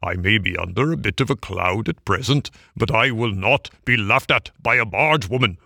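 Man voicing a gravelly, pompous toad. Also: low-pitched, unhurried, sharp tone, no room sound, clean studio sound, full character voice. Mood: furious, haughty. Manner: haughty, blustering. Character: pompous, conceited, hot-tempered male toad. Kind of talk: audiobook character acting.

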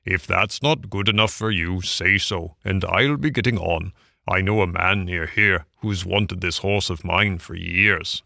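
A vain, boastful toad of a storybook, lower and slower than the narrator, pompous and wheedling, with a gravelly grain, as a man reading aloud puts him on. The sound is close and dry. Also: bright, forceful tone, no echo, heavily acted.

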